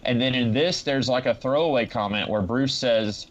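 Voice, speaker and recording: A man co-hosting a casual comic book discussion, reasoning things through in a measured, analytical way. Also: medium pitch. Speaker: podcast co-host. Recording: slightly dull, lo-fi audio.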